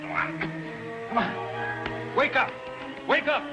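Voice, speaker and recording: A man's voice: extremely high pitch, big pitch swings, slow pace, exaggerated voice. An actor playing a commanding man in an old television adventure, urgently barking short orders. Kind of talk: 1950s television western acting. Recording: old TV soundtrack, loud music.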